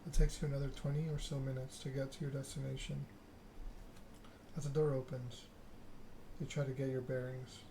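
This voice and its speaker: A man streaming a game in soft ASMR style, reading story text aloud and chatting casually with viewers. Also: medium pitch, little pitch movement, brisk, gravelly, slight background noise. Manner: reads narrative text aloud, chats with viewers.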